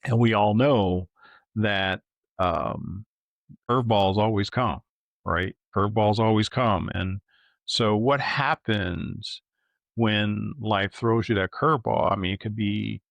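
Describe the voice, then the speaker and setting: A middle-aged man co-hosting a podcast in casual, reflective conversation, thoughtful and halting, often checking in with a questioning tag. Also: fairly low pitch, creaky, fairly bright tone, dry recording. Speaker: podcast co-host.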